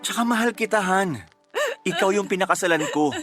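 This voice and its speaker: A man's voice: sits high, a little fast, over music, lightly acted. A man playing a patient, devout husband comforting his wife in a radio drama, soothing and earnest. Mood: earnest, emotional.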